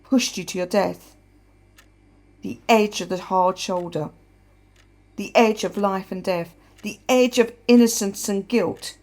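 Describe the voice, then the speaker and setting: A creaky, guilt-ridden widow. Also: female voice, medium pitch, darkish tone. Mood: haunted, guilty. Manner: halting, reflective, bitter. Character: guilt-ridden widow confiding in her dead husband. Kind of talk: radio play monologue.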